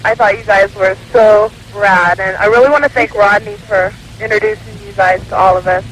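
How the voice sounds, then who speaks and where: A man's voice: high-pitched, brisk, a bit bright. A man calling a radio show as a fan, gushing casually in youthful slang. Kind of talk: casual chat. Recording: faintly noisy radio phone-in.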